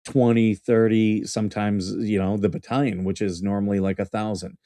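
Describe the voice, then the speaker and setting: A former Marine officer co-hosting a casual talk, speaking haltingly with lots of fillers and breaking into easy laughs. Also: male voice, fairly low pitch, little pitch movement, warm tone, no echo, clean studio sound. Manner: hesitant, many fillers and restarts, easy laughter. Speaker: co-host. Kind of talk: casual conversation.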